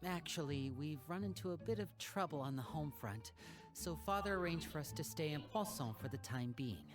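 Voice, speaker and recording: A man's voice: a little high, expressive, a little fast, soft background music, natural voice. An actor voicing a polite, charming young male stage magician in a video game. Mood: hesitant. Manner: courteous, gracious. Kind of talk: game voice acting.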